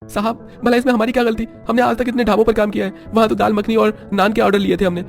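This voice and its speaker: A man's voice: high-pitched, level tone, quick pace, creaky, faint music behind, voice pushed up. A man voicing a naive, indignant rustic waiter in a story, protesting in a plaintive, bewildered way. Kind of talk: cartoon moral-story acting.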